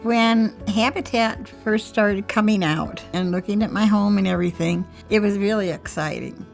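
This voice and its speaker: A woman's voice: medium pitch, moderate pace, gravelly, soft background music. An older woman, a homeowner, telling her story in a plain-spoken, heartfelt testimonial. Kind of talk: personal testimonial.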